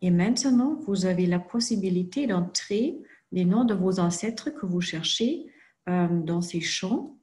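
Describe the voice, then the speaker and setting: A woman walks through a screen-share tutorial in a fairly low voice. She speaks in a very slow, careful way and fills her pauses with frequent hesitations. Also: darkish tone. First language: not French. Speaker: presenter for a genealogy organization.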